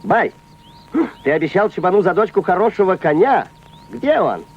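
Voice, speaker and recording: A man voicing a wily, loud folk trickster in a cartoon, with theatrical, drawn-out, exclamatory delivery. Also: high voice, animated. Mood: bossy, pleased. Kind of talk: exaggerated animated cartoon voice acting. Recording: dull old cartoon soundtrack with faint music.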